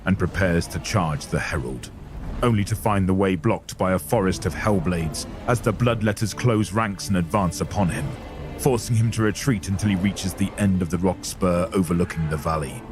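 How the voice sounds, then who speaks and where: Male narrator, low pitch, dramatic scripted storytelling, music bed underneath. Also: normal speed.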